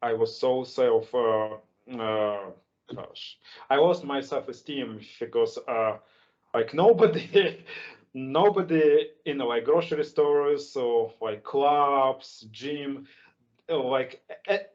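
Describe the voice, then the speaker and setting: A man with a strong Russian accent, speaking English as a second language and hosting an online speaking club, halting with many restarts and fillers. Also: mid-range voice, darkish tone. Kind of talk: casual online English lesson chat. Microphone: video call. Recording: lo-fi video call audio.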